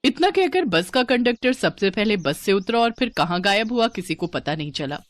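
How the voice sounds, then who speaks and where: A woman's voice: mid-range voice, sing-song, normal speed, no echo. A woman narrator delivering a scripted moral story as storytelling narration.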